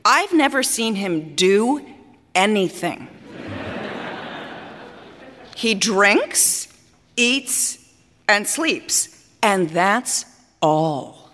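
An actress playing a vain, capricious opera singer in a theatrical monologue, speaking grandly with dramatic pauses, both scornful and adoring. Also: female voice, medium pitch, fairly bright tone, exaggerated voice. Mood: scornful complaint. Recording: reverberant hall stage recording.

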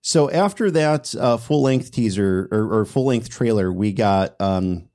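A man with a fairly low voice talks casually as a podcast host. He sounds enthusiastic and keeps stammering and restarting his sentences as he works out his thoughts. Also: lively intonation, a little slow, darkish tone. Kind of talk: casual chat.

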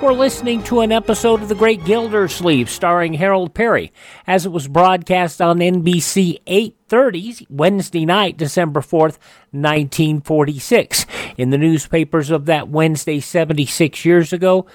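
A man's voice: a little high, normal speed, no room sound, faint music behind. A man hosting a radio show, reading scripted introductions and news in an announcer's style.

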